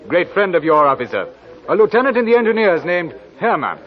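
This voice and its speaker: An actor playing a teasing young Russian gentleman, arch and wry, in a 1940s radio drama. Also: high voice, big pitch swings, normal speed. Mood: playfully teasing. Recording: muffled vintage 1940s radio recording.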